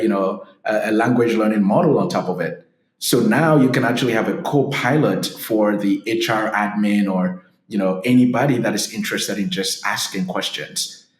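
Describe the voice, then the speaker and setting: A man from Ghana with a lowish voice explains his field as a podcast guest, upbeat and steady, tagging points with "right?". His voice rings in an echoey room. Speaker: startup founder guest. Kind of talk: podcast interview answers.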